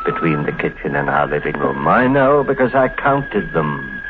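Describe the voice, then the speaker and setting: An actor playing a nervous, guilt-ridden husband in a 1950s radio mystery, speaking haltingly with dramatic pauses and repeated phrases. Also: male voice, extremely low pitch, animated. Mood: haunted, brooding. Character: nervous, guilt-ridden husband hiding a murder, narrating his story. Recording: scratchy, tinny 1950s radio recording.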